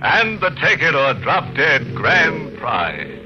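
An actor playing a gleefully morbid, mock-genial horror host in 1940s radio style, with theatrical delivery. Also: male voice, fairly high pitch, wildly swooping pitch, slow, noisy background, heavily acted. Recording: dull old radio recording, music behind.

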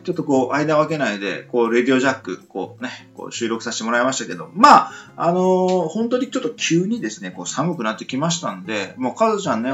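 A man's voice: a little high, fairly bright tone, muffled recording. A man hosting a casual radio show in a chatty manner, tagging his phrases with frequent little particles.

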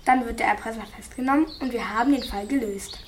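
A female amateur plays a watchful, resourceful girl detective. The voice is very high and earnest, in an amateur radio play with faint noise behind it. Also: fast, lightly acted. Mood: resolute.

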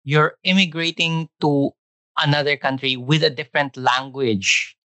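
Bright-toned male podcast host. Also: on the high side, fairly even intonation, a little slow, dry recording. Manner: encouraging, frequent short backchannels. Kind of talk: casual interview.

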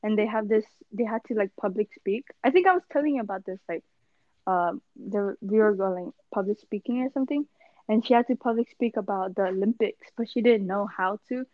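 A woman's voice: mid-range voice, fairly quick, dry recording, phone-quality sound. A young woman co-hosting a casual podcast, rambling through a story with lots of "like" and acting out other people's lines. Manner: rambling, full of "like", acts out voices in anecdotes. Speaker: podcast co-host.

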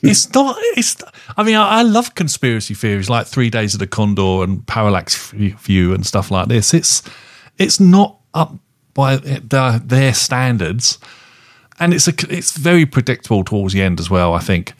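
A man casually reviewing films as a podcast co-host, rambling and halting as he circles back over his phrases. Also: medium pitch, very animated. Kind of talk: casual film review chat.

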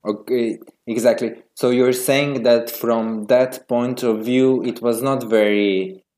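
A man's voice: mid-range voice, fairly even intonation, takes it slowly, a little mellow. A man interviewing a guest, asking questions hesitantly with many fillers.

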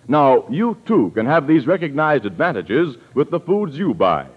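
A man's voice: a little high, big pitch swings, moderate pace, breathy edge. An American man announcing on 1940s radio, reading scripted sponsor copy in a polished, declamatory way. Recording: dull old radio recording, music behind.

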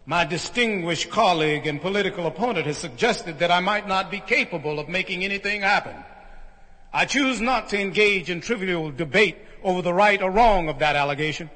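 A polished, self-assured politician delivers grand, formal oratory in a radio play. His voice is high-pitched and bright with a forceful edge. It rings out with long hall reverb. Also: a little fast. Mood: confident oratory. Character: confident, polished politician orating to party delegates. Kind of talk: convention speech in radio drama.

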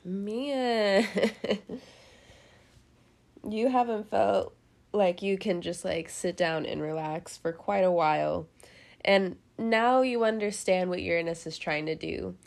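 A woman's voice: fairly low pitch, slow, gravelly, darkish tone. A young woman with a head cold hosting a casual tarot reading, chatty and rambling, laughing often and cursing now and then. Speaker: tarot reader host.

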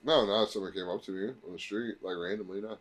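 A young man with a low voice, chatting casually on a podcast. He sounds relaxed and laughs easily. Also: fast talker. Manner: relaxed, laughing, giving directions. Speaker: podcast co-host.

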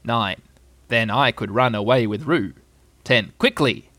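A man voicing a bossy, brisk, self-important scheming rabbit in a children's storybook. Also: medium pitch, a bit bright, no room sound. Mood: proud.

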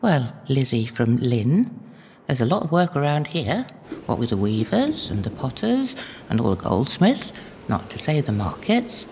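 An actress playing a devout, motherly older holy woman in an audio drama, gentle, knowing and unhurried. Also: extremely low pitch, sing-song, big-room sound, slight background noise, heavily acted. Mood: wry, firm.

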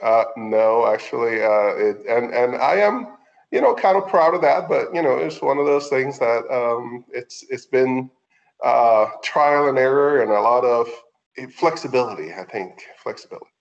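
A middle-aged man with a Puerto Rican accent, a native Spanish speaker, talking conversationally as an interview guest with halting phrasing. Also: mid-range voice, lively intonation, vocal fry, muffled recording. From Puerto Rico. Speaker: veterinarian, podcast guest.

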